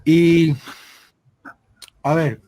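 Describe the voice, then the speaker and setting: A man, explaining things conversationally as an interview guest, deliberate and emphatic, often checking with a tag question. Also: on the high side, flat delivery, warm tone. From Panama. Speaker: interview guest, seminary program director.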